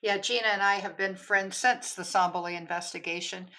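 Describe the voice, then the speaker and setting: Older woman telling lively war stories. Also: mid-range voice. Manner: lively, storytelling, quick to laugh. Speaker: retired army counterintelligence agent, guest. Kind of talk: telling her career story in an interview.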